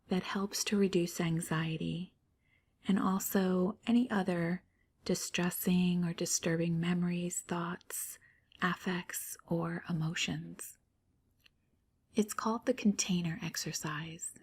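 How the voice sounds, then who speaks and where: A woman guiding a relaxation meditation in a soft, near-whispered, calm voice with gentle pauses. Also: fairly low pitch, breathy edge. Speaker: meditation guide. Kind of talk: guided meditation.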